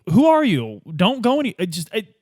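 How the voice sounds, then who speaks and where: A man's voice: high voice, huge pitch swings, brisk, a little mellow, no room sound, clean studio sound. A man taking part as a player in a tabletop game, speaking in casual, improvised roleplay.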